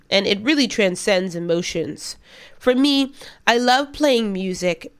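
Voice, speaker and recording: A woman in her late twenties presents her podcast with a stuffy, congested nose from a cold. Her pitch rises and falls widely as she reads her scripted narration. She also works as a DJ. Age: late 20s. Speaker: podcast host and DJ.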